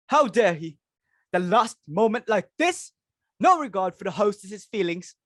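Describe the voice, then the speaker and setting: A woman's voice: medium pitch, no echo, clean studio sound. A woman playing an imperious, frantic, self-important society hostess and domineering mother in a dramatic reading of a stage comedy. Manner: theatrical, self-important, exclamatory.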